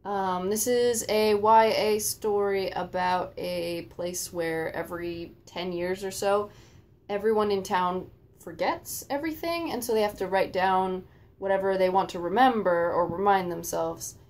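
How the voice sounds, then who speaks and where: A woman's voice: mid-range voice, unhurried, a little mellow. A woman talking casually about books as a co-host of a book channel.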